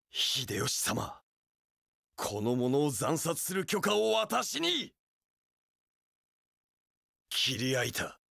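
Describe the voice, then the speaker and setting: An actor playing a vengeful, fanatically loyal young samurai warlord in an action game, with a harsh rasp and a theatrical delivery that bursts into screams. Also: male voice, medium pitch, sharp tone, dry recording, clean studio sound, full character voice. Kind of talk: anime-style action game voice acting.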